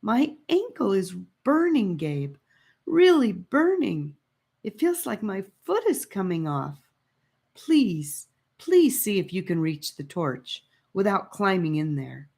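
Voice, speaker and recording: A woman's voice: mid-range voice, sing-song, takes it slowly, vocal fry, a little mellow, husky, pitched above the performer's own voice, heavily acted. A woman voicing an anxious young girl adventurer in an audiobook, pleading and emotional.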